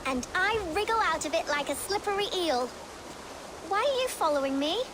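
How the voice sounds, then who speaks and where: A very high, childlike English street girl, cheeky and defiant. She talks in sassy slang like a game character, with clear outdoor ambience behind her. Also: expressive, normal speed, background noise. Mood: cocky. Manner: sassy, colourful slangy banter. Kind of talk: video game cutscene acting.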